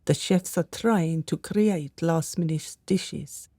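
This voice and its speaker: A woman's voice: low voice, takes it slowly, dark tone, dry recording, clean studio sound, full character voice. An actress playing a pious, devoted lady's maid in a radio drama, fretful and deferential. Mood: fussing, soothing.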